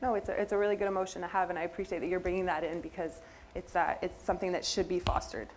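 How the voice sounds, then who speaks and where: Woman moderator, talks fast, low-ish voice, encouraging, faint noise. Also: little pitch movement. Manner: affirming, quick. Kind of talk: panel discussion.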